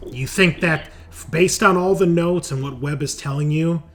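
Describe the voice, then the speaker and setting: A man running a tabletop horror game as game master, improvising narration in a halting, conversational way and thinking aloud. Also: a little high, a bit bright. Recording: noticeable background noise.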